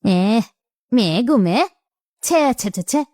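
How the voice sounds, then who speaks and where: A woman's voice: on the high side, expressive, takes it slowly, warm tone, no room sound, clean studio sound. A woman voicing an easily shocked middle-aged village woman in a melodramatic cartoon, exclaiming and repeating her words. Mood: gossipy, scandalized.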